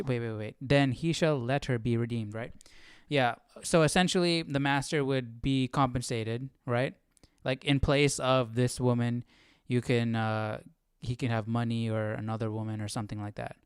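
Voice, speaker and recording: A man with a smooth voice, recorded dry and close. He reads aloud informally as a livestream study host, often pausing to question himself and muse over the meaning. His pitch sits in a middle range. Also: no echo. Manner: musing, hesitant, self-questioning. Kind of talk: informal reading aloud and thinking out loud.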